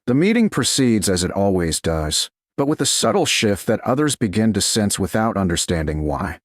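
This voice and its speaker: A man reading a romance story in a slow, measured narration style. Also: lowish voice, sing-song, dry recording, studio quality.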